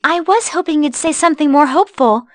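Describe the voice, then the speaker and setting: A woman voicing a gentle, wistful young woman in a video game, earnest and hesitant in her delivery. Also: sits high, brisk, no room sound, studio quality, close to an everyday voice. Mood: sad, tender.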